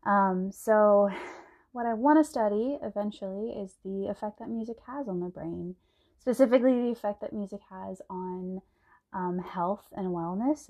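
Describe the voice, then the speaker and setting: A woman's voice: medium pitch, dark tone. A young woman from Texas in her twenties hosts her own podcast, talking casually about herself with frequent laughs and ums. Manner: chatty, laughs often, many ums. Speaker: podcast host. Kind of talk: casual solo podcast monologue.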